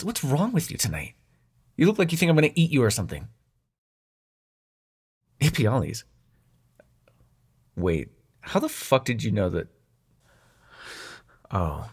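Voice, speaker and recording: Man playing a very expressive, sarcastic young dream god. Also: medium pitch, breathy edge. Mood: wry, puzzled, then alarmed, furious. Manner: conversational, hesitant, sarcastic, swearing. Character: protective young male dream god, sweary, sarcastic, tender. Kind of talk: intimate audio roleplay acting, addressed to the listener.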